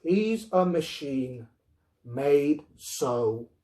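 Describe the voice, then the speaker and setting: Stubborn, blustering husband with animated pitch, read by a man. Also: drawn-out words. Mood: insistent. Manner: blustering, emphatic. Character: stubborn, dismissive, exasperated husband and father.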